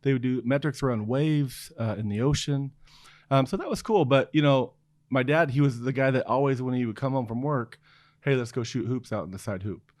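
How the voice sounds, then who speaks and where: A man's voice: medium pitch, a little fast, darkish tone, dry recording. A middle-aged man raised in Oregon, a podcast guest telling stories in a small studio, easygoing and chuckling as he talks. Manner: easygoing storyteller, chuckles through answers. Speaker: guest, design executive. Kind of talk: casual interview chat. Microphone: studio mic on boom arm, headphones.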